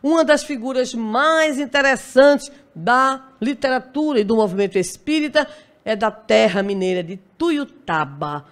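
A woman in her sixties from Brazil giving a spiritist lecture at a lectern, telling a life story with dramatic pauses. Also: fairly high pitch. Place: dim lecture hall stage at a lectern. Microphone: stand mic at lectern.

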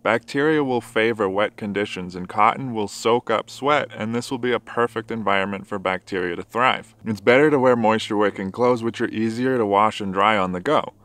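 A man's voice: on the low side, brisk, few pauses, a bit bright, no echo. Man vlogging how-to tips outdoors. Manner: friendly, direct how-to delivery. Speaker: motorcycle camping vlogger. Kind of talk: scripted tips vlog. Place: outdoors at a forest campsite. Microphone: camera held at arm's length.

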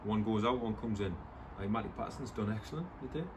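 A middle-aged man with a lowish voice gives a relaxed, proud post-match chat as a football coach, often restarting his sentences. He sounds a little distant from the mic, and outdoor noise is heard clearly. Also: fast talker. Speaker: first team coach. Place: football stadium stand, outdoors.

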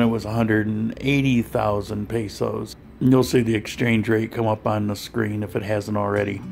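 Gravelly-voiced man narrating his vlog. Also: on the low side. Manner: relaxed, conversational, with frequent fillers and restarts. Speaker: vlogger. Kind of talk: voice-over narration of a clinic visit.

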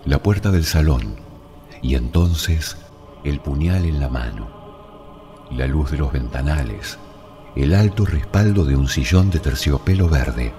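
A man narrating a literary story in a dramatic, measured and deliberate way. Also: extremely low pitch, dark tone. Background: music.

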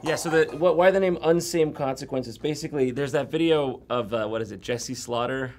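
A man in his thirties hosting a casual behind-the-scenes video in an office studio, jokey and animated. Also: mid-range voice, brisk, faint music behind. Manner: animated, jokey, bursts into excited exclamations. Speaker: YouTube host.

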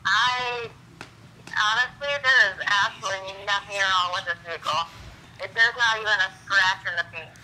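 A woman's voice: mid-range voice, steady tone, moderate pace, pushed and bright, slight background noise. A woman on a phone call, speaking politely and plainly as a private seller. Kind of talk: phone conversation.

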